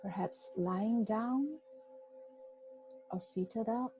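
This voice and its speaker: A woman guiding a body scan meditation, giving gentle, unhurried instructions with long pauses. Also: a little high, soft background music. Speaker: meditation guide. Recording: dull, muffled lo-fi recording.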